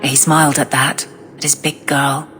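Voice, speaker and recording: Casting: guarded, plain-spoken game warrior, deep female register, restrained tenderness. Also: little pitch movement, moderate pace, fairly bright tone, soft background music. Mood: wistful. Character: tough, guarded warrior woman, awkwardly tender. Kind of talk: dramatic video game voice acting.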